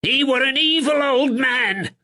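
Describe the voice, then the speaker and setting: A man's voice: lively intonation, unhurried, pushed and bright, exaggerated voice. A man voicing a nervous, weaselly middle-aged male draper who stammers and whines plaintively. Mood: angry shrill. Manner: stammering, plaintive, rising to shrill.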